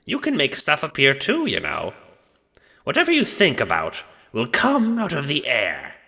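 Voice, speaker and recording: A man voicing a huge skeletal Death in an audio drama, gruff and growling, bored, blunt and crude. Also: on the high side, wildly swooping pitch, a little slow, pushed and bright, in a large hall, voice pushed up, exaggerated voice. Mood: bored, resigned. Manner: blunt, dismissive, swearing. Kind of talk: audio drama character acting.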